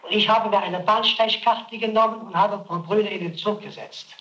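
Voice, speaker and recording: A man's voice: sits high, normal speed, pushed and bright, muffled recording. Defensive, stammering man on an old tape. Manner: defensive, insistent, stammers repeatedly. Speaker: suspect under interrogation. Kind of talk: archival interrogation recording. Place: prison interrogation room. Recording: roomy old tape recording with faint hiss.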